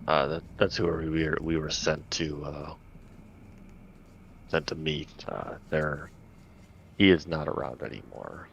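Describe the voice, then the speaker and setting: A man's voice: rumbling low, fairly even intonation, measured pace, gravelly, long pauses, a bit bright. A man improvising in-character dialogue as a tabletop game player, hesitant and groping for his words. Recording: dull audio, faint background noise.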